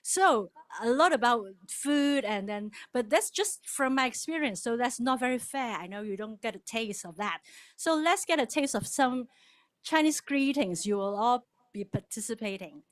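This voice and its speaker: A woman's voice: on the high side, lively intonation, moderate pace. Chatty woman. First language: Cantonese. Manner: chatty, laughs often, leads the crowd in repeating words. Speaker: guest speaker at a worship service. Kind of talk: casual cultural talk with call-and-response.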